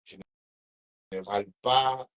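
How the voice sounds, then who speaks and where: A man's voice: mid-range voice, takes it slowly, fairly bright tone. A man with a Cameroonian accent chatting live to his viewers, rambling and repeating himself as he answers their comments by name. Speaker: live streamer.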